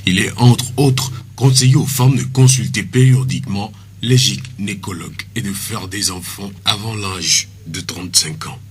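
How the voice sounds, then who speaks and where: Low-voiced radio newsreader. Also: male voice, soft background music. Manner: measured, formal newscaster delivery. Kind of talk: scripted news reading.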